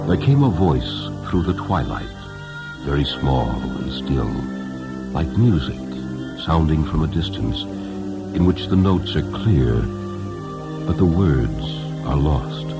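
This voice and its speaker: A man with a remarkably deep bass voice narrates a storybook tale. His delivery is solemn, reverent and dramatic, measured in its phrasing. Loud music plays beneath him. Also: sing-song. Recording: music under the voice.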